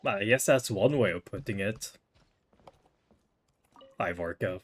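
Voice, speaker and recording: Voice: deep voice, takes it slowly, slightly rough. A streamer giving casual, laid-back reactions and brief interjections while playing a video game. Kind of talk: casual gameplay reactions and commentary.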